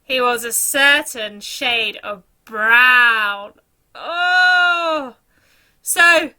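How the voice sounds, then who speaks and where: A woman's voice: sits high, sharp tone. A woman reading a children's story aloud in a dramatic, theatrical storytelling manner.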